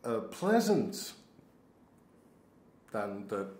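Slow, halting male podcast host, echoey room. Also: lowish voice, big pitch swings, darkish tone. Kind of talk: casual podcast chat. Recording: roomy, echoing sound.

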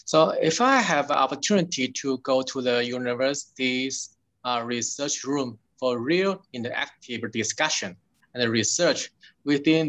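A man's voice: medium pitch, a bit bright. A man with a Taiwanese accent, asking hesitant, apologetic questions with many fillers during an online webinar. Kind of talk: halting questions in a video call.

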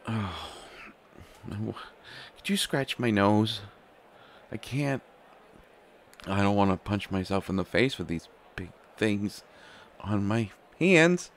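A man's voice: lowish voice, expressive, slow, a little hiss or hum. A man playing an anxious, stammering young prince who is reluctant to become king, in a roleplay audio drama. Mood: wry exasperation. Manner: flustered, hesitant, stammering, sighing. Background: faint music.

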